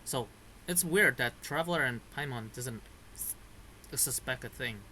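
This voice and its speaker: Male gamer, casual halting commentary, sharp bright voice over a faintly noisy background. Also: mid-range voice. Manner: halting, searching for words. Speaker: gamer reacting to game story.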